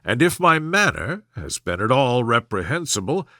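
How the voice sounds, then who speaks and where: A man voicing a pompous young gentleman suitor who speaks with stately, wounded formality and long-winded displeasure, as in a period storybook. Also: mid-range voice, sing-song, moderate pace, no echo, clean studio sound. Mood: stiff resignation.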